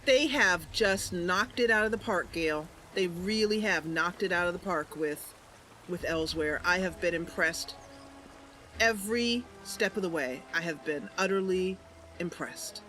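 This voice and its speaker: A woman's voice: lowish voice, unhurried, a bit bright. A woman doing casual, chatty and enthusiastic commentary as she streams a game. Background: faint music.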